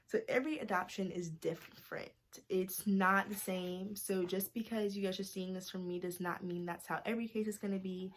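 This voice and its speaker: Chatty Southern young woman vlogger. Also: on the low side. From North Carolina, US. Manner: chatty, many "like"s and "you guys". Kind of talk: casual talk to camera. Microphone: camera mic.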